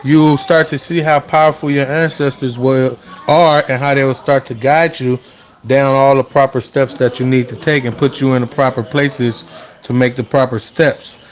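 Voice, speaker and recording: Man, earnest, unhurried, lots of fillers, mid pitch. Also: steady tone. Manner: earnest, unhurried, frequent fillers like "you know" and "um". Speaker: interviewee, spiritual practitioner. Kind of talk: casual conversational explanation.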